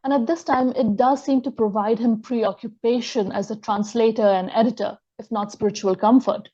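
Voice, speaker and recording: A woman giving a scholarly lecture, reading from her text in a measured way and quoting sources aloud. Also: on the high side, steady tone, warm tone, muffled recording. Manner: measured, reads quotations aloud. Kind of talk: reading an academic talk.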